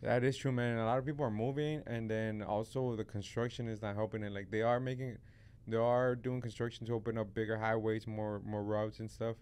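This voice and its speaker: Laid-back podcast host, young man; keep it smooth and relaxed, slightly low. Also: brisk, hardly any pauses. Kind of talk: casual chat. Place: podcast studio with neon sign. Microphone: studio mic on boom arm, headphones.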